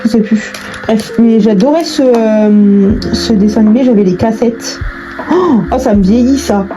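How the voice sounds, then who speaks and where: A woman's voice: fairly high pitch, measured pace, over music, poor audio. A young adult woman chatting casually in slangy, informal language, thinking aloud as she crafts. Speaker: online video creator. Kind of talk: casual chat while crafting.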